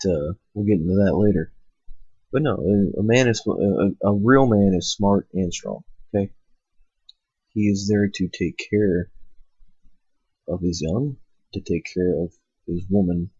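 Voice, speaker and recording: A husky man with a lowish voice, stuffed up from a cold, rants bluntly and swears a lot, wandering through his points with plenty of fillers. It is a dull, lo-fi home recording, close and dry with no room sound. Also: a little slow, long pauses, dark tone, no room sound. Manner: profane, blunt, rambling with fillers. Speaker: host. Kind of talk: ranting monologue. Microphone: cheap microphone. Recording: lo-fi home setup.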